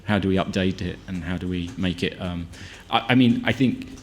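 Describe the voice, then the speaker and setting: A man's voice: sits low, fairly quick, a little hiss or hum. A film director answering at a press conference, with many restarts and fillers as he gathers his thoughts.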